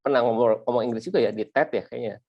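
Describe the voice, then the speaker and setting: A man's voice: medium pitch, fast, muffled recording. A man hosting an interview who asks short, friendly questions and throws in brief interjections. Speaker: interview host.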